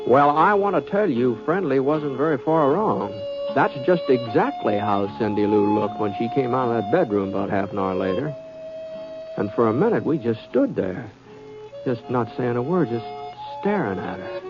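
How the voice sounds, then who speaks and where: An actor playing a mild, hesitant, decent Western drifter with an American accent in an old-time radio drama. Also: male voice, medium pitch, lively intonation, moderate pace, over loud music, close to an everyday voice. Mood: fond, reminiscing. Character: easygoing, decent Western drifter, a grown man. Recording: dull, lo-fi vintage radio recording.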